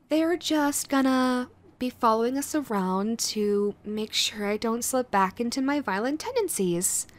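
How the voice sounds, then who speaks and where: A woman playing a sweet, clingy yandere girlfriend in an audio roleplay, nervous and halting, gushing and apologetic as she tries to reform. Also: high-pitched, no room sound. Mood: hesitant, hopeful. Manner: halting, gushing, apologetic, swinging between eager and sheepish. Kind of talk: romantic audio roleplay, acted to the listener.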